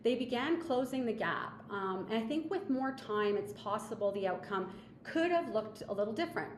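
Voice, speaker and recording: A woman presenting analysis as a webinar speaker, in a measured, explanatory and somewhat hesitant way. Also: on the high side, expressive. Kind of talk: slide presentation of polling analysis. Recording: echoey room with faint background noise.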